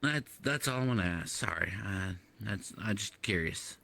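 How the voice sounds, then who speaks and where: Man, low-pitched and bright, improvising casual roleplay dialogue in a halting manner. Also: expressive, creaky. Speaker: roleplay participant. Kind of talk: improvised in-character roleplay chat. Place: online voice chat in a virtual world.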